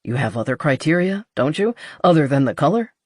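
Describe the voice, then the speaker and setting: A woman voicing a guarded, protective man with a terse, deadpan, muttering manner in a romance audiobook. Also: low voice, brisk, breathy edge, no echo, studio quality, exaggerated voice. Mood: dry, protective.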